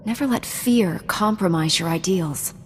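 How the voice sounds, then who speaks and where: A woman's voice: lowish voice, measured pace, slight background noise, natural voice. Woman acting an earnest, resolute knightly heroine. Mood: firm, principled. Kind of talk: video game voice acting.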